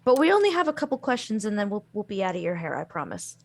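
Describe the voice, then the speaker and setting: A woman's voice: mid-range voice, big pitch swings, quick pace. An overly sweet adventurer girl, as a woman puts her on. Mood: sweet, eager. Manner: bright, overly sweet and polite. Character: eager, polite young woman adventurer.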